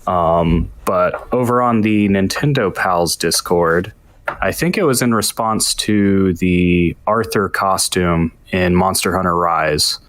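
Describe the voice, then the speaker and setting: A man's voice: low-pitched, slow pace, fairly bright tone, no echo. A man co-hosting a casual podcast, telling stories in an easygoing way. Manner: easygoing, anecdotal storytelling. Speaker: podcast co-host.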